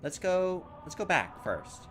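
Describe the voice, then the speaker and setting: A man hosting a let's play, chatting casually and excitedly while he reads the game's text aloud. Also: sits high, slow, a little hiss or hum. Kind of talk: casual game commentary, reading text aloud.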